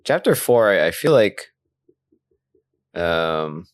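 A man co-hosting a podcast, chatting casually about a video game in an animated way and often laughing as he talks. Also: low voice, takes it slowly, no echo. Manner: animated, laughs while talking. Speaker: podcast co-host.

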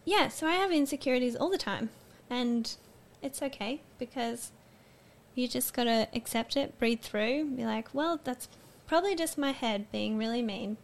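A woman's voice: on the high side, normal speed, vocal fry. A woman in her early twenties sharing personal stories casually as a podcast panelist. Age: 20s.

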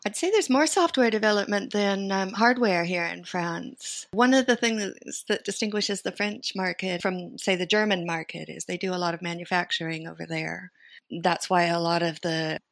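A woman's voice: on the low side, gravelly, a bit bright, no room sound. A middle-aged American woman chatting as an interview guest, talking freely and thinking aloud with fillers. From United States and Sweden. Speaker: interview guest, company founder. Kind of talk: casual conversational interview.

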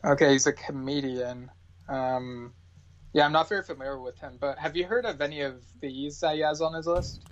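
Slightly dull, lo-fi audio of a man with a moderately high voice. He talks as a guest in a casual podcast chat, plain and matter-of-fact, often a little tentative. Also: fairly even intonation, moderate pace.

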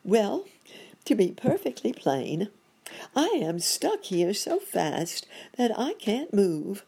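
A flustered monkey doctor from a children's storybook, acted out by a woman, pitched high and swooping up and down. He laments his lot in polite, wordy exclamations, full of self-pity. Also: huge pitch swings, slow, gravelly, warm tone, slightly rough, heavily acted. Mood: rueful.

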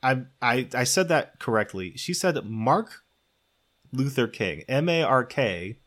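A man's voice: mid-range voice, sing-song, a little slow, fairly bright tone. Casual man co-hosting a comedy podcast.

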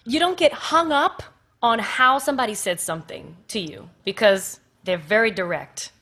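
A woman's voice: on the high side, moderate pace, fairly bright tone, husky. A woman with an American accent, speaking directly and passionately as a panel guest. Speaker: panel guest, stage performer. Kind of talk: casual panel conversation.

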